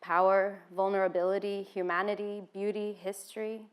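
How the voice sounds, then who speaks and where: American woman, talk speaker, relaxed conversational delivery, lots of "um"s, mid-pitched. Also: moderate pace. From United States (Washington, D.C.). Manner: conversational, with frequent "um" fillers. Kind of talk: informal seated talk to an audience.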